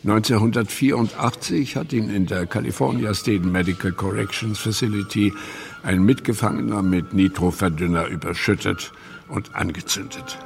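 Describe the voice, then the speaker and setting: A man's voice: fairly low pitch, normal speed, vocal fry. A man with pronunciation giving scripted, matter-of-fact documentary narration in a radio play. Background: music.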